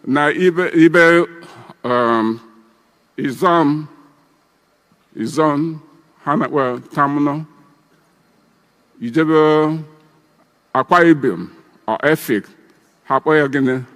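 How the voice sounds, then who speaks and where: A man's voice: fairly high pitch, slow pace, pauses often, a bit bright, long reverb tail. A man in his eighties with a Nigerian Igbo accent, giving a ceremonial speech as an elder breaking kola nut. He rambles and repeats himself. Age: 80s.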